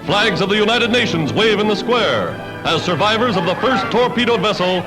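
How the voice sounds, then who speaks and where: An American man reads newsreel narration in the 1940s style, his voice pitched high. The delivery is declamatory and dramatic, built from clipped, punchy phrases. Music plays clearly behind him throughout. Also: expressive, normal speed, rough recording.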